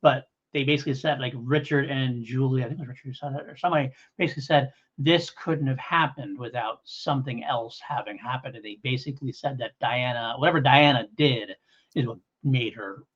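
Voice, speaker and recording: Male review co-host: conversational, meandering explainer, agreeable, average pitch, somewhat muffled recording. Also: a little fast, fairly bright tone. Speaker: podcast co-host. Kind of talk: casual audio drama review.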